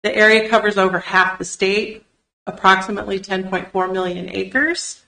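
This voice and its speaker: Woman presenting at a county meeting, gravelly. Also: medium pitch, fairly even intonation, normal speed, bright, forceful tone. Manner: organized, explanatory, with frequent "uh" fillers. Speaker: state land use planner presenting to county commissioners. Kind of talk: formal public meeting presentation. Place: county commissioners' meeting room. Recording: roomy public meeting recording.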